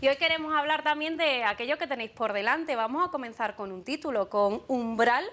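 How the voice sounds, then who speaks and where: Give me a woman hosting a TV studio interview, her voice a little high. She is lively and encouraging, nudging guests along with quick reactions. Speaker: TV host. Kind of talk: talk-show interview.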